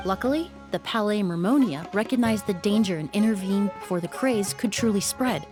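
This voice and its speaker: Woman voicing a calm, precise perfumer. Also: medium pitch, close to an everyday voice. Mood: composed. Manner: composed, measured, explanatory. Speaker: perfumer with medical knowledge. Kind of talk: video game dialogue. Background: faint game music.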